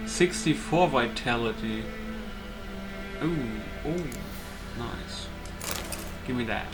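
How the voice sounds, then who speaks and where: A man's voice: mid-range voice, animated, slow, over music. A man giving casual, unscripted commentary while he plays a video game, muttering reactions to himself. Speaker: gaming YouTuber playing and commenting.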